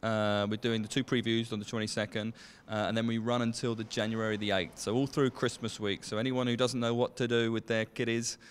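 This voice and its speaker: A man's voice: medium pitch, flat delivery, brisk, clear, resonant tone. A man giving a casual promotional interview as a producer. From England. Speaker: theater producer.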